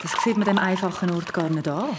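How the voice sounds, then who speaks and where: An actress playing a genteel, squeamish and easily frightened society wife in a nineteenth-century radio drama. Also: female voice, lowish voice, fast talker, heavy background noise, natural voice. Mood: genteel, gracious. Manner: genteel, fussy.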